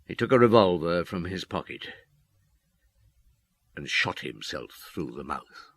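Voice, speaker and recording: An actor playing a weary, remorseful older police detective in a radio drama, speaking in a measured, reflective way with weighty pauses. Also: male voice, sits low, big pitch swings, fairly bright tone, no echo, heavily acted. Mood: remorseful, tense.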